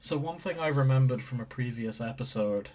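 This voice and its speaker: A man's voice: medium pitch, brisk, a crowd in the background, low-quality recording. A man co-hosting a podcast, chatting casually in banter with friends. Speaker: podcast co-host.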